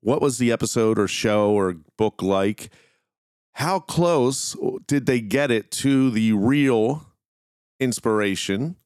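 A man's voice: mid-range voice, slow, a little mellow, clear, resonant tone, no room sound, studio quality. A man hosting a solo podcast, talking casually and informally in a meandering way. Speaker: podcast host.

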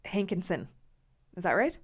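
A woman guesting on her husband's podcast, talking in a calm, matter-of-fact way. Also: on the low side, moderate pace, breathy edge. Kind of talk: casual conversation with her husband.

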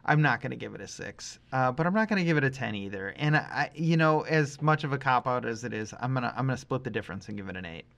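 Male podcast co-host, medium pitch with noticeable vocal fry, casual, hesitant conversational delivery. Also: expressive, fast, few pauses, a bit bright, muffled recording. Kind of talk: casual review chat.

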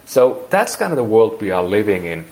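A man's voice: fairly low pitch, big pitch swings, moderate pace, darkish tone, low-quality recording. A man in his forties from Finland with a Finnish accent, speaking English as a second language and rambling through an interview with many restarts. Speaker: guest. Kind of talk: casual interview.

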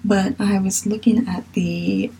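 A woman's voice: mid-range voice, little pitch movement, takes it slowly, gravelly, dark tone, slightly rough, poor audio. A young woman from Virginia with a Southern accent, chatting candidly as a podcast host, swearing casually and sometimes dropping to a whisper. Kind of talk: casual spiritual chat.

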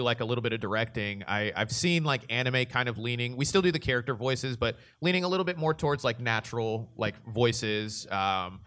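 A man's voice: mid-range voice, pushed and bright. A man talking casually as a voice actor and director on a convention panel, thinking aloud as he goes.

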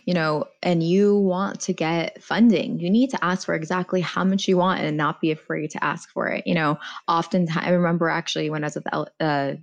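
A woman's voice: fairly low pitch, steady tone, a little fast, hardly any pauses. A woman in her thirties, a former TV journalist and founder, talking candidly on a panel and dropping plenty of "like" and "you know". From United States. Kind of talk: casual panel chat.

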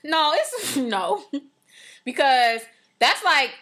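A woman co-hosts a casual podcast, talking loud and passionate with a bright, sharp tone. Her pitch swoops around a lot as she laughs and jumps back in. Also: big pitch swings, very slow. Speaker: podcast co-host.

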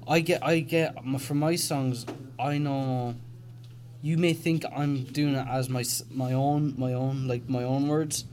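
A man's voice: medium pitch, steady tone, unhurried, darkish tone. A young man hosting a casual podcast chat, rambling with many restarts and fillers. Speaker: podcast host. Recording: faint background noise.

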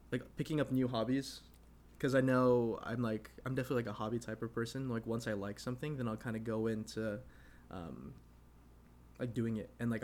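A man in his mid-twenties talks loosely on a podcast, leaning on fillers and breaking into laughs. His pitch sits in an ordinary middle range. Faint steady noise runs underneath. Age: 20s. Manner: laughs often, rambling with many fillers. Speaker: podcast co-host. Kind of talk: casual chat.

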